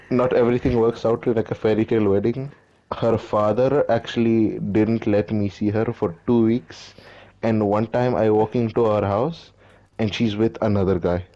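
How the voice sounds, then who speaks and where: A man playing a boastful man from Delhi who tells a rambling comic tale of his teenage years, laughing at his own jokes. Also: fairly low pitch. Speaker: storyteller. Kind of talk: comedy sketch monologue. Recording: dull, faintly noisy recording.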